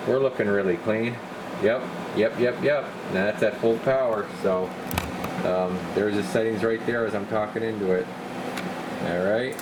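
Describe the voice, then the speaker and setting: Deep-voiced man in a noisy workshop. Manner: rambling, hands-on, stops mid-sentence while working. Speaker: radio repair technician. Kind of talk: casual workshop demonstration. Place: garage workshop.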